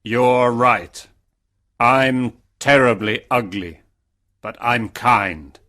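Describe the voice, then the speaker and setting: Woman putting on a deep slow gentle beast. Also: steady tone, heavily acted. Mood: tender. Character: big ugly male beast, gentle and lonely. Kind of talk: graded reader storybook narration.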